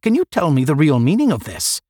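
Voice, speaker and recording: Man as a proud, animated cosmic giant. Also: fairly high pitch, a little fast, no echo, studio quality, pitched above the performer's own voice. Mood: proud, impatient. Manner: demanding, declarative. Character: proud, questing cosmic giant who strides between stars. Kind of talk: science fiction audiobook.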